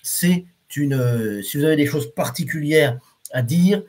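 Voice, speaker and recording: A man hosting a live reflexology lesson, chatting loosely with his viewers. His voice sits a bit higher than most men's, and he hesitates often, restarting his sentences. He reads viewer comments aloud as they come in. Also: a little slow, darkish tone. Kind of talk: casual live-stream talk to viewers.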